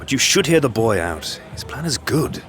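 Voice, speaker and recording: An actor playing a genial, conciliatory conspirator and go-between in an audio drama. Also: male voice, medium pitch, very animated, normal speed, a bit bright, faint music behind. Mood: genial, placating.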